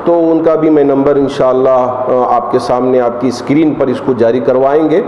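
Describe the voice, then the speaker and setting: A man's voice: medium pitch, fairly even intonation, moderate pace. A man giving a spoken public appeal as a community leader. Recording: dull, echoey room, faint noise.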